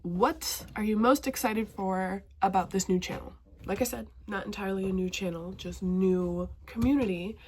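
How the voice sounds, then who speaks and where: A woman's voice: medium pitch, moderate pace, a little mellow, a little hiss or hum. A young woman vlogging casually about books, chatty and enthusiastic, her voice a bit stuffy from a cold. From northwestern Montana. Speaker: BookTube vlogger. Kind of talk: casual talking-to-camera vlog.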